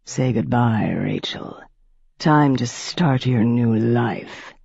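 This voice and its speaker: A narrator voicing an ancient male vampire master who speaks slowly, coldly and in a low hush. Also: vocal fry, slightly rough, no echo, heavily acted. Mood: cruel. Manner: slow, low, cold and softly whispered. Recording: dull dry audiobook recording.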